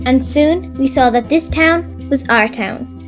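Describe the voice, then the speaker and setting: A woman's voice: high-pitched, unhurried, soft background music. A female actor playing a brave young Irish girl in a children's audio drama, telling of her adventures. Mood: earnest, happy.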